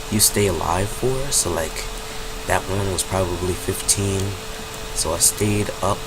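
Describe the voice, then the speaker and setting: A young man with a slightly low voice and a stuffy nose from a cold talks through his gameplay in a loose, rambling way, often correcting himself. His home desk mic picks up a constant loud hum and background noise. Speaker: gaming channel host. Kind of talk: casual gameplay commentary.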